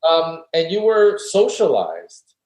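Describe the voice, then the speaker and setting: A man's voice: fairly high pitch, huge pitch swings, takes it slowly, a little mellow. A man, a philosophy guest, earnestly explaining his argument step by step in an interview. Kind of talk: conversational interview, reflective argument. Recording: roomy echo.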